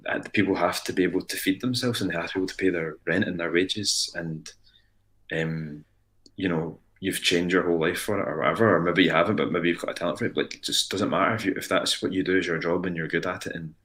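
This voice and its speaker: A man's voice: deep voice, fairly even intonation, fast talker, sharp tone. A Scottish man in his late twenties, a musician guest talking earnestly in a casual interview, rambling with frequent restarts. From Ayrshire, Scotland.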